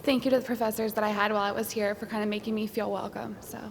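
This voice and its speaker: A woman's voice: medium pitch, level tone, racing, gravelly, slight background noise. A young woman giving a casual, bubbly graduation thank-you at a podium microphone. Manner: bubbly, informal, laughs. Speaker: graduating student. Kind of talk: casual commencement speech. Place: graduation ceremony.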